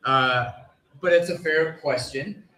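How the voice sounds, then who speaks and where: A man speaking as a sports analyst on a live panel, explaining his points in a measured, qualifying way. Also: on the high side, bright, forceful tone. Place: live convention panel stage. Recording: echoey live room.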